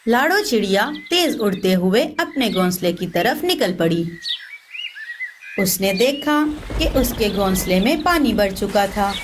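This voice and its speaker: A woman's voice: medium pitch, big pitch swings, a little slow, background noise. Woman narrating a children's cartoon story.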